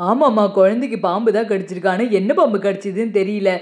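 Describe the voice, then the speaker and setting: A woman voicing a worried young village man, a healer's son, in a cartoon, speaking in a lowered, calm explaining tone. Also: quick pace, natural voice. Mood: concerned. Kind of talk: cartoon voice acting.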